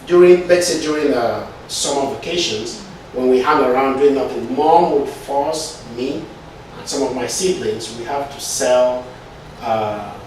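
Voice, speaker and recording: A middle-aged man from Cameroon, speaking as an IT consultant and entrepreneur. He pauses often, restarts his sentences and checks in with "right?". His voice is distant and bounces around a very echoey room with faint background noise. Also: medium pitch, darkish tone. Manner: hesitant, many fillers and restarts, frequent "right?". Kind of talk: interview answers.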